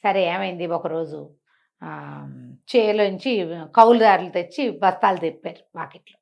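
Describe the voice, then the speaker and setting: A woman's voice: fairly low pitch, normal speed, creaky, darkish tone. A woman telling a story conversationally, in colloquial speech, posing rhetorical questions as she goes along.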